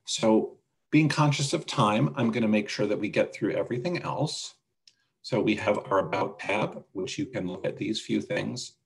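A man presenting a casual software walkthrough on a video call, explaining things step by step. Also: on the low side, lively intonation, normal speed, creaky, poor audio. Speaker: webinar presenter demonstrating a website.

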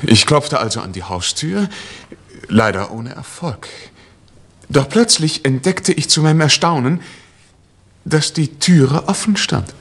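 1960s radio mystery husband: confident, theatrical, lively intonation, slightly rough voice. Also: male voice, on the high side, measured pace, full character voice. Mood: polite, explaining. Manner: self-assured, courteous, theatrical. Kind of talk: 1960s German radio crime drama acting.

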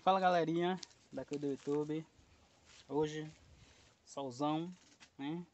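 A man's voice: a little high, fairly even intonation, slow, a little mellow, highs cut off. A man in his thirties vlogging casually outdoors on a lapel mic, chatty and rambling as he talks straight to his viewers. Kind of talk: casual vlog chat. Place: outdoors in a rural yard and fields.

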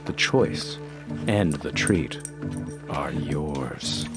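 A man playing a theatrical narrator of a children's play, speaking in a deliberate, mock-grand showman's way. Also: a bass voice, loud music behind the voice, pitched below the performer's own voice, heavily acted. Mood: playful showman. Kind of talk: scripted kids' play narration.

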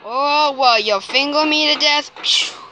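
A woman's voice: sits high, a little slow, bright, forceful tone, slight background noise. A woman performing an amateur comic dub, acting out cartoon characters.

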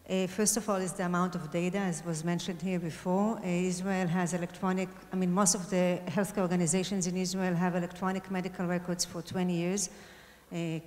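A woman's voice: fairly low pitch, darkish tone. Woman panelist in a reverberant hall. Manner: fluent, many fillers, self-corrects. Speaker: panelist, hospital data and AI head. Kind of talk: panel discussion answer. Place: large conference hall stage. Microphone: handheld mic.

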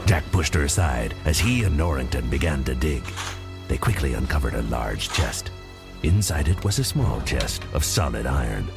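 A man with a gravelly voice narrating a scripted adventure audio drama as a storyteller. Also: a bass voice, lively intonation, moderate pace. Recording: loud music under the voice.

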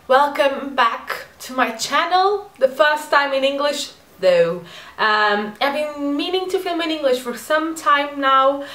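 Chatty Portuguese woman with a high voice. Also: echoey room, distant mic. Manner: rambling, self-mocking, animated asides. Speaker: beauty vlogger. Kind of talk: chatty get-ready-with-me vlog. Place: bedroom at home.

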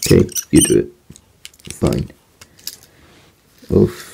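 A man's voice: rumbling low, big pitch swings, slow, creaky. A young man voicing an amateur toy train story, talking in a casual, offhand way with internet slang. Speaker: toy train video narrator. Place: small indoor room. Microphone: phone camera held close. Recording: loud background noise, home phone recording. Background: loud noise and clicks.

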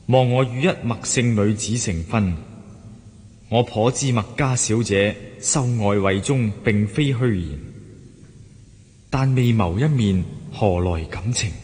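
An actor playing a melancholy, lovelorn young scholar in a radio drama, voicing his letter in formal, measured literary phrases. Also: on the low side, darkish tone. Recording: long hall-like reverb on voice.

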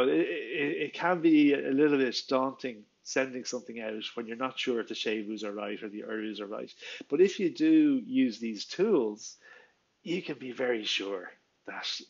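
A man's voice: mid-range voice, highs cut off. A man in his forties with an Irish accent, a native Irish speaker, giving an enthusiastic, chatty explainer video from a small room at home, with many fillers and restarts. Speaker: Irish language teacher. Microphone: headset, video call framing.

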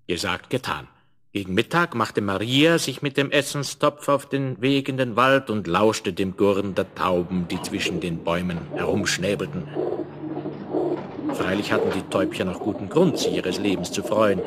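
A male storyteller reads a scripted fairy tale. His voice sits a little low, and his telling is measured and expressive. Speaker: narrator.

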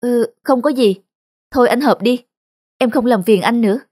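Woman acting young cartoon bride-to-be, insecure, plaintive, self-critical, highish voice, music behind. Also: moderate pace, darkish tone, lightly acted. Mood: evasive. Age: young adult. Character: insecure young bride-to-be fretting about her weight. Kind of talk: animated cartoon dialogue.

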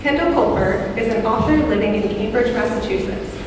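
A woman's voice: a little high, moderate pace. A woman reads panel introductions from notes at a podium in a large wood-panelled hall. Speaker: festival committee member introducing a panel. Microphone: podium microphone. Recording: echoey hall, heard from a distance, background noise.

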